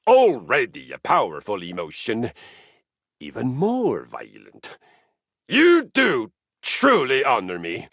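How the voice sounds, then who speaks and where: A man's voice: medium pitch, a bit bright, no echo, clean studio sound, heavily acted. An actor voicing a boisterous, violence-loving male exercise machine with a Viking spirit, in grand, archaic, theatrical speech. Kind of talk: game voice lines. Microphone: close studio mic.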